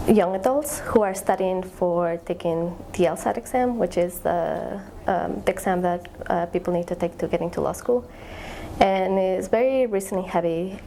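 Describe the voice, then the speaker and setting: A woman's voice: lowish voice, mellow, slight background noise. A young woman, a graduate student explaining her research in an interview, thoughtful and searching for words. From Ecuador. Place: eye tracking lab.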